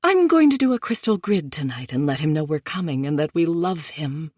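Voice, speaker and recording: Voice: mid-range voice, big pitch swings, fairly quick, a little mellow, no room sound, studio quality. A narrator voicing an insistent new-age mother who will not take no for an answer. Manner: insistent, talks over others. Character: anxious new-age mother, older woman.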